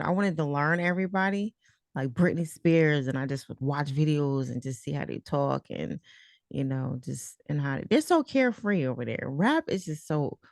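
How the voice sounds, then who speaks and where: A woman's voice: low voice, gravelly, a little mellow, no room sound, studio quality. A woman hosting a casual interview, chatting in an informal, slangy way with a playful, teasing streak. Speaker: host and interviewer.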